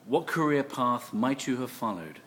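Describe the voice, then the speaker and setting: A man's voice: medium pitch, lively intonation, normal speed, fairly bright tone, a little hiss or hum. A man interviewing a chef, asking playful, punchy questions in an upbeat way.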